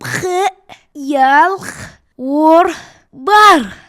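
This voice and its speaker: Woman voicing a high-pitched, slow-talking cartoon child. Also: fairly bright tone, full character voice. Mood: plaintive. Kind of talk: cartoon dubbing.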